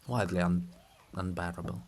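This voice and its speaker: A man's voice: low voice, fairly even intonation, voice pushed down. Man playing a mournful, anguished old queen. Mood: anguished dread. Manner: slow, mournful, lamenting delivery. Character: anguished old woman, grieving statue of a queen. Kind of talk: dramatic reading of a stage play.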